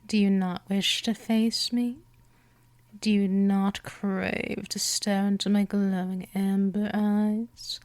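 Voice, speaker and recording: A woman voicing a gentle but dominant, seductive vampire in a scripted hypnosis roleplay, teasing and coaxing, often slipping toward a whisper. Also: medium pitch, level tone, slow pace, no room sound, natural voice.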